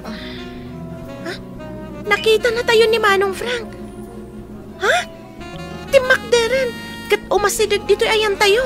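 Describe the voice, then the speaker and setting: Very high-pitched cheeky young woman. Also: steady tone, unhurried, long pauses, over music. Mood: chatty. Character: cheeky, plucky young female friend. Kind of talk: Ilocano radio drama acting.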